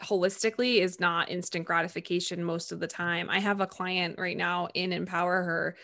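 A woman's voice: lowish voice, little pitch movement, moderate pace, bright, forceful tone. A woman health coach talking in a casual conversation, chatty and full of "like" and "you know".

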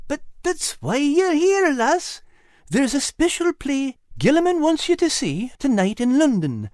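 Excitable pudgy tomcat, pitched way up. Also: male voice, unhurried, dry recording, clean studio sound, exaggerated voice. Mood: eager. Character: excitable, pudgy, good-natured male cat, devoted mate.

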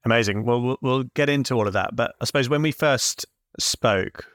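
A man's voice: mid-range voice, normal speed, a bit bright, dry recording, studio quality. A man hosting a podcast interview, asking friendly, rambling questions with many fillers. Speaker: podcast host.

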